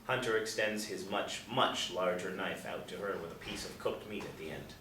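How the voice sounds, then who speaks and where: Lowish-voiced man narrating in an echoey room. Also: moderate pace, sharp tone. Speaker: narrator reading stage directions. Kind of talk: scripted narration at a table reading. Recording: roomy, distant mic, faint noise.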